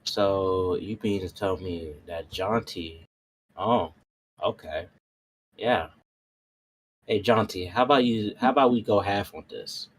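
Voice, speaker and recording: A man's voice: deep voice, slow pace, long pauses, a little hiss or hum. A man playing a tabletop roleplay, chatting casually and hesitantly, often restarting his phrases. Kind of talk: casual game chat.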